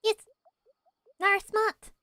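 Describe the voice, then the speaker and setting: A woman's voice: takes it slowly, pushed and bright, dry recording. A woman voicing a small forest spirit from a game, speaking in clipped, childlike broken phrases. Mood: pleased, cryptic. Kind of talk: fan voice-over of game dialogue.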